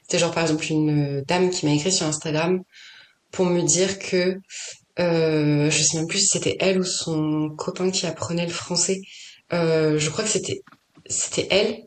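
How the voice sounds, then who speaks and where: A woman's voice: deep voice, steady tone, moderate pace. Hesitant woman thinking aloud, echoey room. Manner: thinks aloud, hesitant, many restarts and fillers. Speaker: poet and author, podcast guest. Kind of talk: casual conversation.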